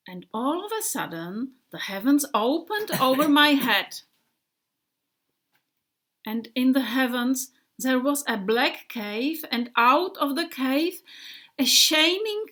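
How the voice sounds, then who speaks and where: A woman voicing a male bomber airplane who tells his wartime tale in a slow, dreamy way with hushed passages. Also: sits high, exaggerated voice. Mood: awed.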